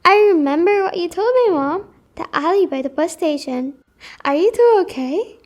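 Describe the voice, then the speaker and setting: A woman's voice: extremely high pitch, animated, moderate pace, darkish tone. A woman playing a dutiful, resourceful young sister fleeing war in a radio drama. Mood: eager, concerned.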